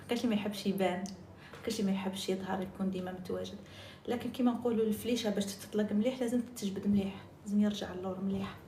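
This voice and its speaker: Algerian woman chatting fast on a live stream, echoey room, noisy background. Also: mid-range voice, a little mellow. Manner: chatty, jumps between topics, mixes in French and English. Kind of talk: casual live-stream chat.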